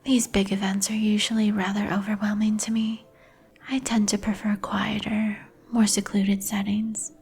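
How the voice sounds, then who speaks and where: Actress as guarded female vampire heir, ASMR roleplay; extremely breathy and whispery, even in tone, politely formal. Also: mid-range voice, normal speed. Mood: reserved, polite. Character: reserved female vampire heir who shuns crowds.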